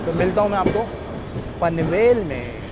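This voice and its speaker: A man in his thirties talks to his viewers in a chatty travel-vlog style, calling them "guys". His voice sits fairly high. He records on a phone held at arm's length, and loud train and platform noise runs under everything he says. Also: very animated, unhurried. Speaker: travel vlogger. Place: train coach and station platforms.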